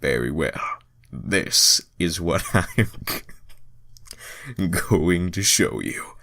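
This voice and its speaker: A man voicing an easygoing, confident young cartoon hedgehog hero in a casual, deliberate manner. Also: low voice, lively intonation, gravelly, a bit bright, no echo, studio quality, exaggerated voice. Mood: suggestive, confident.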